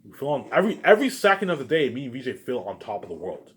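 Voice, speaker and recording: A man giving a rambling, unscripted, repetitive monologue to viewers, emphatic, with loud bursts that drop into muttered asides. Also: mid-range voice, very animated, racing, a little hiss or hum. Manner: repetitive, circling back to same phrases. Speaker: online video maker.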